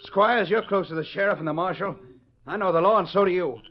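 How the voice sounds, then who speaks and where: An actor playing a crooked, argumentative American rancher, a grudging villain in a 1940s radio western. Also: male voice, sits high, fairly quick. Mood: defiant. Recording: muffled old radio recording.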